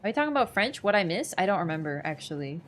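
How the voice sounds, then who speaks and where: A woman's voice: fairly low pitch, very animated, racing, a little hiss or hum. A woman streamer chatting casually with her chat while she plays a game, laughing easily and answering questions. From Poland. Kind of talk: casual gaming livestream chat.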